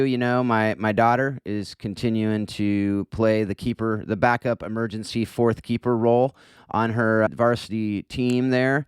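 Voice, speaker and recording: A man's voice: on the low side, clear, resonant tone, no echo. A man from California hosting a casual podcast, friendly and given to repeating words as he talks. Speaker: podcast host.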